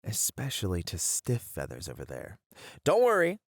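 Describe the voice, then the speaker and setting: Guy playing a playful dramatic teacher who teases, super expressive pitch, dry close sound, asmr roleplay. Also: normal speed, no room sound. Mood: playful, eager. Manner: teasing, dramatic, flowery. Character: playful, theatrical male colleague who loves teasing.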